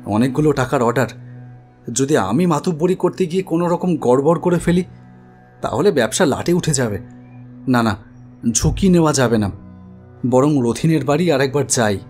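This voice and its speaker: A man plays a troubled idol-maker narrating his own ghost story for an animated horror tale. His voice sits at a middle pitch, expressive and anxious in its telling. Music runs beneath the words. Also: natural voice. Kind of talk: dramatic first-person horror story narration. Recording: studio voice over music bed.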